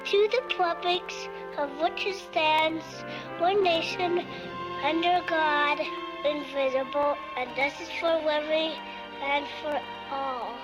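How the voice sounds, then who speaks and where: Slow, high, childlike female voice. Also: steady tone, dull audio, music in the background. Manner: childlike, halting. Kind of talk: reciting and explaining in an ad spot.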